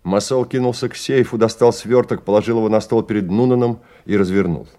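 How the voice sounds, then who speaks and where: A man's voice: lowish voice, fairly quick, dark tone. A man narrating a Russian radio play as a scripted literary story.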